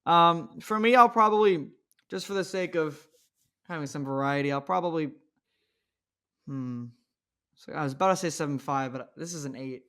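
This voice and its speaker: A male podcast co-host with a fairly high voice and plenty of gravelly fry. He chats casually and hesitantly, working out his thoughts as he speaks. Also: normal speed, pauses often, bright, forceful tone. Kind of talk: casual chat.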